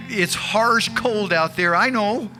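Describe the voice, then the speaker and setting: A man playing an anxious, tender rural father in an old-time radio drama, speaking in a folksy, halting, urgent way. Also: high voice, music in the background. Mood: tender, worried.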